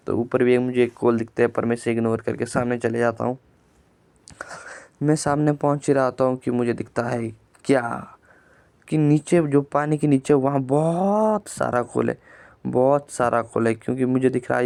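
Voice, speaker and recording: A man with a sore throat narrating his own gameplay for his channel in a plain, flat storytelling way, often repeating words. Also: medium pitch, gravelly, clean tone, no room sound. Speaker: gaming channel creator.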